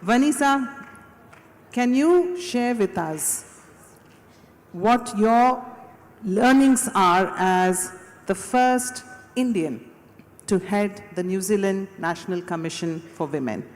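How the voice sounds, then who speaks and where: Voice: medium pitch, slow, long pauses. A moderator formally introducing a panelist at a conference. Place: large conference hall. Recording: long reverb of a large hall.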